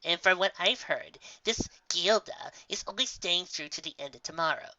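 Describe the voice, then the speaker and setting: A woman's voice: on the low side, animated, normal speed, sharp tone, muffled recording, a little hiss or hum, voice pushed up. A woman playing an officious female unicorn official, haughty and weary, in a story reading. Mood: weary, dismissive.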